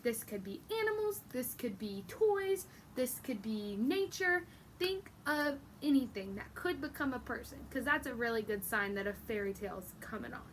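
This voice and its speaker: A woman with a high voice teaches young children through a storybook read-aloud. She explains things in an encouraging way and addresses the listeners directly, over faint background noise. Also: animated, moderate pace.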